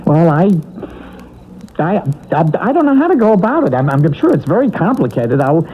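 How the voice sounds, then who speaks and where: Flustered rookie celestial messenger, vintage radio comedy; dithering, swooping delivery, thin noisy broadcast sound. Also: male voice, a little high, very animated, normal speed, slightly rough, highs cut off. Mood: hesitant, apologetic. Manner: stammering, dithering, apologetic. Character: timid, flustered, bumbling novice male messenger from Heaven.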